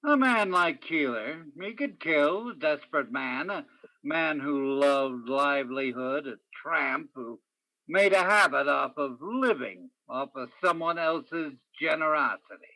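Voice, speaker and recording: A man's voice: on the high side, animated, measured pace, bright, forceful tone, dry recording, exaggerated voice, rough recording. A man playing a persistent, world-weary hard-boiled detective in a radio crime drama. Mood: accusing, insistent. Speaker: stage actor in a radio play. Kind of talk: old-time radio crime drama acting.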